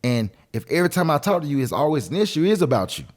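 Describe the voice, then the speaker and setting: A man's voice: on the high side, very animated, rapid-fire. A man talking casually and with strong opinions on a podcast, emphatic and repeating phrases for effect. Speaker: podcast co-host.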